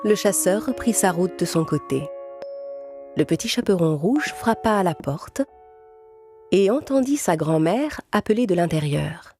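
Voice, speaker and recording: A woman tells a children's story in a slightly low voice. She narrates from a script, with music clearly playing behind her. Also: animated, unhurried.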